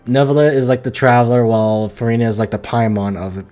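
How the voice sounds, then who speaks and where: A man's voice: medium pitch, fast, warm tone. Male livestreamer, mid-range voice, slangy and emphatic off-the-cuff game commentary, light music underneath. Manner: slangy, stumbling, repetitive, emphatic. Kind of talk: casual live game commentary.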